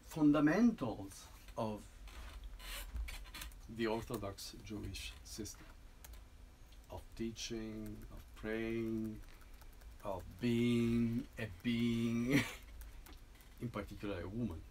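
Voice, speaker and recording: Man explaining his piece haltingly. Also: lowish voice, fairly even intonation, darkish tone, slight background noise, poor audio. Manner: halting, many restarts and tag "no?". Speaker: composer presenting a piece. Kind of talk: informal talk to an audience.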